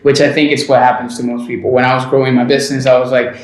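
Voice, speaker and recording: A man's voice: medium pitch, flat delivery, very fast. Man, 30s, podcast host, filler-heavy casual talk, roomy echo. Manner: rambling, full of "like" and restarts. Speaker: podcast host and sports dietitian. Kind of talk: casual interview chat.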